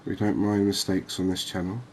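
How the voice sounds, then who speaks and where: A man gives soft ASMR game commentary in a low, very even voice. He takes his time, sounding calm and reassuring. There is faint noise and ambience behind him. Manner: unhurried, calming, reassuring. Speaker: host of a cozy gaming channel.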